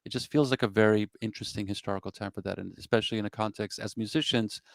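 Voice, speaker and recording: A man's voice: lowish voice, steady tone, fast, gravelly, no echo, studio quality. A middle-aged man hosting a panel talk on a video call with a desk mic. He speaks earnestly and reflectively. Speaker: host. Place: home room indoors.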